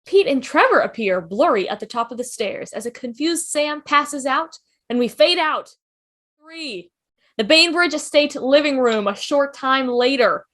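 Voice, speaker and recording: High-voiced woman reading script directions. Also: fairly bright tone. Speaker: stage directions reader. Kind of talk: scripted narration at a fan table read.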